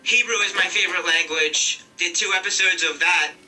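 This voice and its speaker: A man's voice: high-pitched, normal speed, sharp tone, recorded from a distance, soft background music, rough recording. A man in his thirties, a podcast host, talking earnestly in a heartfelt farewell and repeating himself as he goes. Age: 30s.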